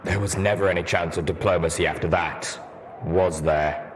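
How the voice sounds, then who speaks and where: Man as grim male ghoul pony soldier, guarded, stern, deep, long echoing reverb, faint noise. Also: fairly even intonation, normal speed, natural voice. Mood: cold accusing.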